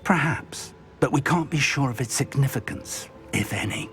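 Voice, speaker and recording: An actor playing a thoughtful, practical older wizard mentor in a video game, pensive yet encouraging. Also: male voice, mid-range voice, normal speed, a bit bright, soft background music, natural voice. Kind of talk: video game dramatic acting.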